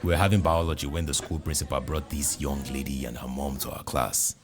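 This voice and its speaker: Deep-voiced Nigerian man telling a funny story. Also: a little fast, music in the background. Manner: animated, jokey, with exclamations. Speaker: podcast host. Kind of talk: comic personal storytelling.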